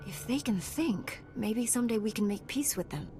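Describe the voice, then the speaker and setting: A woman playing a noble, self-assured Jedi warrior in a video game, calm and measured. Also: a little high, soft background music, close to an everyday voice. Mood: composed. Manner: composed, measured.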